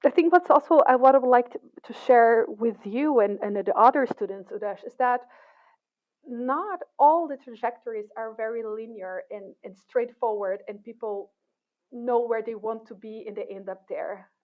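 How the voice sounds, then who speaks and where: Middle-aged woman guest, Portuguese first language, from Brazil, lively with lots of restarts and laughs, slightly high voice, tinny phone-line audio. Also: normal speed. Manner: animated, laughs often, many restarts. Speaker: guest, assistant professor. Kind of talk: casual interview chat.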